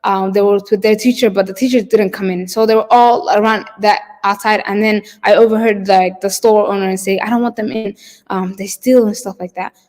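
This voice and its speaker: A woman's voice: mid-range voice, steady tone, fairly quick, a little mellow. A woman from Somalia, telling personal stories as a keynote speaker over a video call, hesitant with many fillers and restarts. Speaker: keynote storyteller. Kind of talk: personal storytelling. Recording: long hall reverb.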